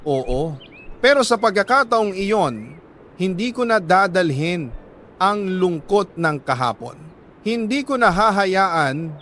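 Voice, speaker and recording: A Filipino man reading a scripted, sentimental first-person love story in an earnest, deliberate way, like a radio storyteller. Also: on the high side, fairly bright tone, slight background noise. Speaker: radio-style storyteller reading a listener's letter.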